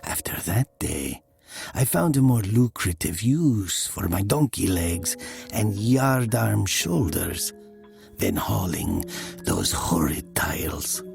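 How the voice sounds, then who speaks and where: A man playing a brooding narrator recalling his youth in a dramatic story, slow and deliberate, dropping into hushed breathy tones. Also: on the low side, very animated, soft background music, heavily acted. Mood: measured, somber.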